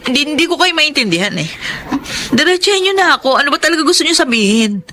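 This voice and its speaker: An actress playing a bitter, scornful young Filipino wife and defiant daughter in a radio drama, biting and melodramatic. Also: female voice, sits high, big pitch swings, quick pace, bright, forceful tone, a little hiss or hum, heavily acted. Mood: shocked, defiant.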